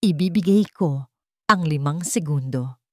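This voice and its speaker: A woman voicing a grieving billionaire mother in a narrated story, stern and cold, speaking with quiet, firm weight. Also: low voice, a little slow, no echo. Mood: cold, resolute.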